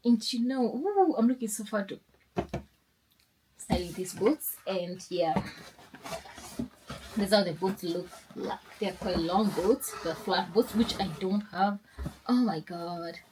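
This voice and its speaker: A woman's voice: medium pitch, lively intonation, slow pace, pauses often, background noise. Chatty young woman vlogging. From Kenya. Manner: chatty and upbeat, rambling with restarts. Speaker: lifestyle vlogger. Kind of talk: casual sit-down vlog chat.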